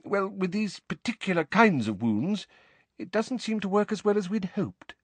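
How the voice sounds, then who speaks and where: Brief: narrator as sheepish wizard dad, imploring, stammering. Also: male voice, high-pitched, sing-song, moderate pace, dry recording, pitched above the performer's own voice. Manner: imploring, hesitant. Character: flustered middle-aged wizard father, sheepish.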